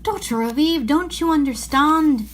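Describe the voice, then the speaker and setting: A woman's voice: high-pitched, measured pace, voice pushed up. A woman voicing an anxious, remorseful male faun from a storybook, pleading and confessing dramatically. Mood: remorseful, distressed.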